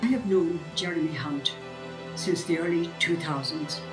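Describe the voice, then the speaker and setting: Deep-voiced woman speaking in a roomy space, music behind. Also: moderate pace. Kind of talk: testimonial in a campaign video. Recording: echoey room with music bed.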